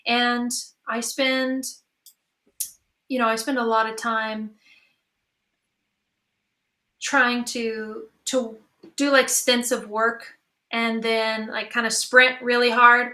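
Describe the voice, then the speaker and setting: A woman's voice: fairly high pitch, level tone, slow, sharp tone. A woman chatting casually and informally on a panel as a creative artist. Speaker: musician and audio drama creator on a panel.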